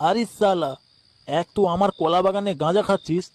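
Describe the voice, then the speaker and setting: A man's voice: high voice, brisk, slight background noise, natural voice. A man with a West Bengali accent voicing an irritable, foul-mouthed village banana farmer in a comic cartoon. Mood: indignant. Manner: grumbling, swearing.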